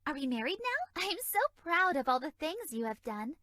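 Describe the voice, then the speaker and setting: Flirty innocent fairy, very high voice, lively swooping intonation, bubbly, dry close game recording. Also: female voice, normal speed, no room sound, exaggerated voice. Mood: shy, flirty. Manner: bubbly, innocently suggestive, airy. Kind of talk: video game character dialogue.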